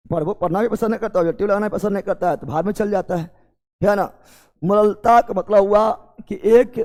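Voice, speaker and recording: A man's voice: high-pitched, a little mellow. A man with a Bihari accent teaching an exam coaching class, repeating key terms and putting check questions to his students.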